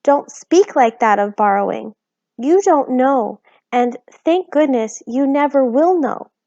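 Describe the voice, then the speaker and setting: Anxious little borrower mum, high and wobbly, scolding, kind of muffled lo-fi sound, woman doing her. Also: expressive, takes it slowly, warm tone, no echo, heavily acted. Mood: fearful. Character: fretful tearful tiny borrower mother. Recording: slightly dull lo-fi recording.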